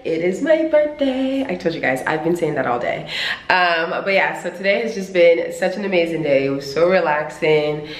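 A woman's voice: on the low side, animated, roomy sound, in a large hall. A woman in her twenties talking casually and playfully to a camera held at arm's length in a hotel room. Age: 20s. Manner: playful, chatty, drawn-out emphasis. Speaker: vlogger.

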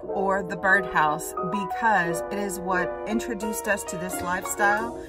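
A woman's voice: medium pitch, fairly even intonation, measured pace, distant mic, over loud music. A cheerful young woman hosting a vlog from inside a small travel trailer. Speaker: vlog host. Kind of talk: vlog intro.

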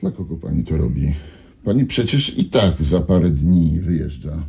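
A husky, slick minister. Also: male voice, rumbling low, big pitch swings, normal speed, mellow, full character voice. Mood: indignant. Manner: smooth and courteous, turning indignant. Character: slick male government minister, defensive, quick to anger. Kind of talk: 1990s radio drama acting.

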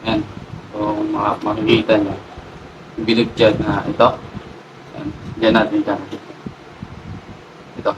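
A man with a Filipino accent giving a casual hands-on demo, haltingly thinking aloud as he works. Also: on the low side, level tone, lo-fi sound. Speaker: motovlog host. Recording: distant mic with clear background noise.

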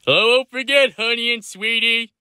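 A man's voice: very high-pitched, normal speed, bright, forceful tone, clean tone, no room sound, studio quality, full character voice. A man voicing a laid-back, beer-loving middle-aged cartoon dad in a casual, offhand way. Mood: easygoing. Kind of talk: fan-made cartoon voice acting.

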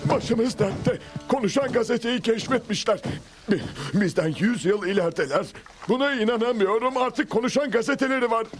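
A man's voice: very high voice, animated, normal speed, soft background music. A man voicing a jittery, gullible, fretful outlaw henchman in a Turkish cartoon dub. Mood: worried. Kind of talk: Turkish-dubbed cartoon dialogue.

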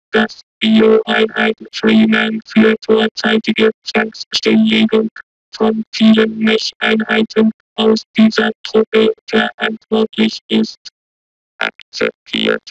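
A menacing military war machine from a video game, with an extremely deep, robotic voice. It speaks in slow, emotionless, clipped reports. Loud electronic music plays behind it. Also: male voice, creaky, heavily acted, low-quality recording. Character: cold, menacing military combat robot soldier. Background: loud synthesizer music.

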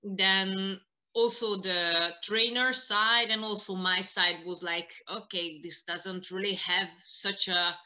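Bright-voiced Hungarian woman, halting English. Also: mid-range voice, steady tone. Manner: halting, searching for words in non-native English. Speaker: interview guest, martial arts practitioner. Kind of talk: casual interview answers. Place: small living room indoors, on a video call.

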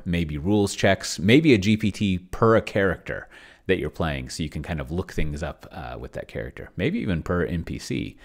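Middle-aged man, low-pitched voice, casual screen-share host, offhand, rambling, close desk mic. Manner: rambling, self-interrupting, offhand. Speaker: host of a tabletop roleplaying tech channel. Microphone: desk microphone close to the mouth.

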